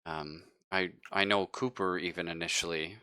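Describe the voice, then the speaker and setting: A man playing a nervous, evasive younger space crew survivor in a tabletop game, speaking haltingly with stammers. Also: sits low, steady tone, sharp tone, smooth, no echo, clean studio sound. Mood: evasive, explaining.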